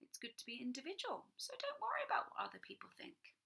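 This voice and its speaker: Lively woman reading a storybook. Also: sits high, fast talker, gravelly. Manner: animated, sing-song, drops to whispers for asides. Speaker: children's storyteller. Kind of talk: reading a picture book aloud to children.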